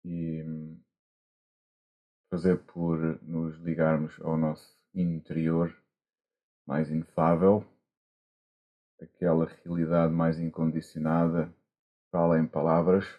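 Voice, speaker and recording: A man with a European Portuguese accent, a monk guiding a meditation gently and haltingly, with long pauses, over a video call. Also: very deep voice, completely flat delivery. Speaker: Buddhist monk, meditation teacher. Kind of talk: guided meditation instruction. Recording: muffled, dull online call audio.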